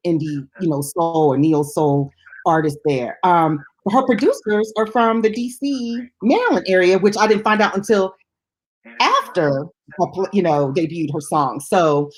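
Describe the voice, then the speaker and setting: A woman's voice: on the low side, moderate pace. A woman hosting a music show in casual chat, effusive and emphatic about what she likes. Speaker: radio show host and music DJ.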